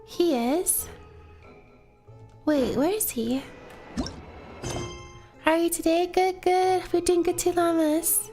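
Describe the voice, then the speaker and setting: A woman's voice: very high-pitched, takes it slowly, long pauses, warm tone, faint music behind. Chatty stuffy-nosed woman streamer. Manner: chatty, talks to viewers between game lines. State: stuffy from a cold. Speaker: streamer playing a game. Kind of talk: casual live-stream chat.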